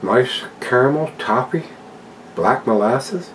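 A man's voice: mid-range voice, animated, takes it slowly, a little hiss or hum, low-quality recording. Folksy older man reviewing beer. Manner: chatty, folksy, talks to viewers as guys. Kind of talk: casual solo review. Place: home room with a desk and plants, indoors.

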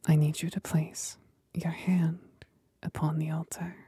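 A woman's voice: deep voice, level tone, measured pace, mellow, no room sound, clean studio sound, full character voice. A woman voicing a possessive demon companion in a whispered ASMR roleplay, seductive and commanding, soothing yet domineering. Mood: coaxing, commanding. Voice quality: breathy whisper.

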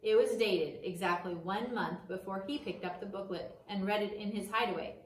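Female storyteller, middle-aged, expressive read-aloud delivery; very roomy classroom sound, slightly distant mic. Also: mid-range voice, moderate pace, slight background noise. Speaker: teacher reading a book aloud. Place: small indoor classroom. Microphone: camera across a table.

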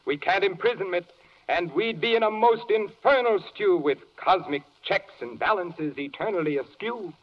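An actor playing a pompous, defeated prosecutor declaiming in 1940s rhymed radio drama. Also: male voice, high voice, lively intonation, moderate pace. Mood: resigned, exasperated. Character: defeated, pompous male prosecutor withdrawing his case. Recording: dull, band-limited 1940s radio recording.

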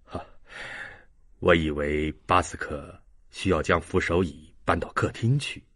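Audiobook role man acting: dejected, evasive elderly man, extremely deep, dry sound, subdued delivery. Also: measured pace, a bit bright, no echo. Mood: awkward, evasive. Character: dejected, evasive old man, gently resigned. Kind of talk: audiobook character dialogue.

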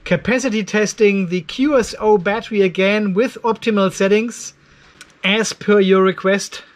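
An older man with a noticeably high voice talks through a hands-on tech demonstration. His delivery is informal and conversational, as if thinking aloud while he checks readings for viewers. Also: steady tone, moderate pace. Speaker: host of an off-grid battery testing channel. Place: cluttered indoor garage workshop.

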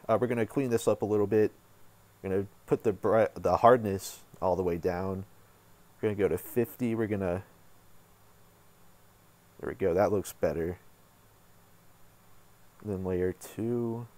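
A man hosting a casual software tutorial, talking informally and thinking aloud as he works. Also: fairly low pitch, unhurried, long pauses. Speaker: tutorial host.

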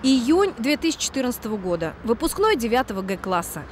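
A woman narrating a TV news report as a voice-over, measured and expressive. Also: on the high side, a little hiss or hum. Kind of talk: scripted narration.

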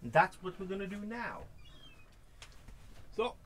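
A man in his forties, vlogging to camera on a sailboat, talking in a relaxed, chatty way with many fillers. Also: high voice, takes it slowly, slight background noise. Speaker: sailing vlogger. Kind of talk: casual vlog talk to camera. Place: sailboat on a fjord, outdoors, then in the cabin. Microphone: camera held at arm's length, then camera on a shelf.

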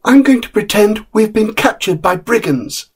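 A man's voice: sits high, animated, moderate pace, slightly rough, pitched above the performer's own voice, heavily acted. A man voicing a small, tired boy in a storybook, bravely spinning a tale in an earnest, childlike, breathless way. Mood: earnest, sleepy imagining. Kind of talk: dramatic short story reading.

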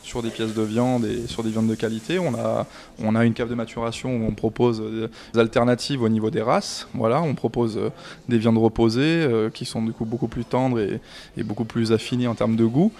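A man in his thirties talks about his restaurant into a handheld reporter mic, indoors. His voice sits at an ordinary middle pitch. He hesitates often, filling pauses and restarting phrases. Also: fairly even intonation, darkish tone. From France. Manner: hesitant, many fillers and restarts. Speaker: restaurant manager. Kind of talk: on-camera interview.

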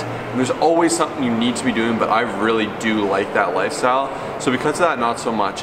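Animated young man in an echoey gym. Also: fairly low pitch, far from the mic. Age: 20s. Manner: animated storyteller, quick and joking. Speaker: fitness YouTuber. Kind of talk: casual Q&A vlog. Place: large indoor gym. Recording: echoey gym hall with steady hum.

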